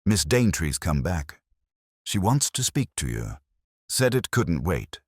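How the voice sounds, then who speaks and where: A man's voice: low-pitched, big pitch swings, slow, husky, exaggerated voice. A man voicing a deferential junior police sergeant in an audio mystery, reporting plainly. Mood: dutiful.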